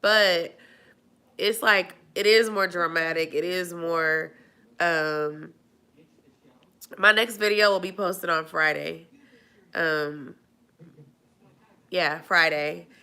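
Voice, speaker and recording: A woman's voice: lowish voice, fairly even intonation, takes it slowly, pauses often, sharp tone, clean tone. A woman chatting casually on a live stream, nasal and stuffy from a cold, rambling with lots of fillers. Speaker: vlogger answering live chat.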